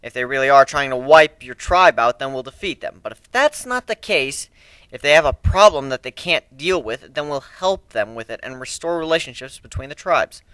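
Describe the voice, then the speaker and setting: A man's voice: mid-range voice, lively intonation, normal speed, fairly bright tone, clean tone, no room sound, natural voice, rough recording. A man playing a confident, commanding male pirate crew leader in a fantasy story reading, assertive and reasoning.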